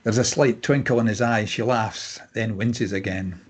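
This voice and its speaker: A man's voice: fairly low pitch, fairly even intonation, normal speed. A man reading scripted stage directions as narrator at a table read.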